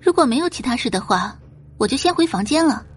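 A woman's voice: sits high, animated, fast talker, slightly rough, soft background music, voice pushed up. A woman voicing a self-assured young woman in an audio drama, speaking briefly and nonchalantly. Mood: cool, indifferent.

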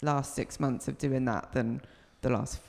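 A woman's voice: very deep voice, fairly even intonation, measured pace, vocal fry, darkish tone. A woman, a guest comedian, chatting casually about her work. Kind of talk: casual chat. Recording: echoey hall, live venue.

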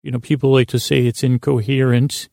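A man with a soft-edged, mellow voice full of creak delivers a meandering bedtime monologue. His pitch stays very even as he halts, drifts off on tangents and loops back, all in a soothing, sleepy-time podcast host style. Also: no echo, studio quality. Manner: halting, tangent-prone, circling back on himself. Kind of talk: rambling, meandering bedtime monologue meant to lull listeners to sleep.

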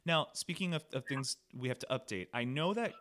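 A man's voice: medium pitch, a little fast, a bit bright. A man hosting a podcast, asking long, winding questions in a casual tone. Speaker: podcast host. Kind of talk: casual interview conversation.

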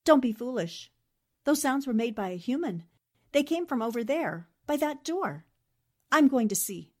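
Woman playing an animated, high-voiced plucky newspaperwoman. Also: moderate pace. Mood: resolute. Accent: American. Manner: earnest, resolute, dramatic. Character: brave, serious 1940s newspaperwoman investigator. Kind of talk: 1940s radio drama acting.

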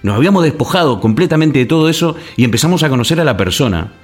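A man from Argentina, a guest on a radio talk show, telling stories in an animated way with many restarts and fillers. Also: a little high, very fast, husky, faint music behind. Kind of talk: casual radio conversation.

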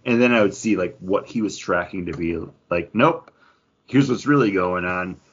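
Man, lowish husky voice, podcast co-host, casual opinionated chat, dull recording. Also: moderate pace. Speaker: podcast co-host reviewing comic books.

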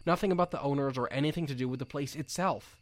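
A man's voice: mid-range voice, fast talker, lightly acted. A man playing a nerdy, earnest field researcher rambling into an audio log in a drama, with insects behind him. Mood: wistful, musing. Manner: rambling, hesitant, exclaiming.

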